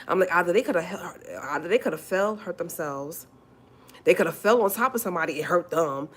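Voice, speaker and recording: A forty-year-old woman talks straight to her livestream viewers. Her voice sits very low, and she hammers her points home by repeating them. She calls listeners honey as she goes. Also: expressive, a little fast, breathy edge. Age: 40s. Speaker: livestream host. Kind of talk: casual livestream talk.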